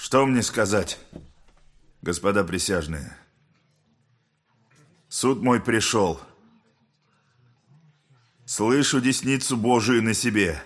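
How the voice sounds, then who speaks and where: A man's voice: fairly low pitch, lively intonation, takes it slowly, pauses often. An actor playing a passionate, anguished accused man who declaims solemnly and emotionally in an audio drama. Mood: broken, pleading. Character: Dmitry Karamazov, passionate anguished accused man pleading innocence. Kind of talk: theatrical audio drama acting.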